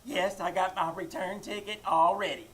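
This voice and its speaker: Woman as husky sly mother-in-law, deadpan. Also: mid-range voice, moderate pace, close to an everyday voice. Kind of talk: 1940s radio comedy acting.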